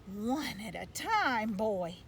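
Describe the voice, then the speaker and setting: A woman voicing a gruff, blustering, folksy father who manages a losing baseball team, in a storybook read-aloud. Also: a little high, very animated, takes it slowly, heavily acted. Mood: gruff, weary. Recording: clear background noise.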